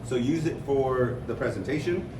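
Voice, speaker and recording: A man's voice: medium pitch, expressive, normal speed. American man coaching in a noisy, echoey room. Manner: conversational, rambling, explains with analogies. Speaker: fitness coach leading a seminar. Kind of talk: informal seminar talk to a group. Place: room with an audience, mic at a distance. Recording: roomy, distant mic, clear background noise.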